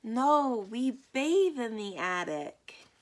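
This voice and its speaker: A woman's voice: a little high, big pitch swings, slow pace, voice pushed up. A woman voicing a matter-of-fact, mildly exasperated child in a children's picture book read-aloud.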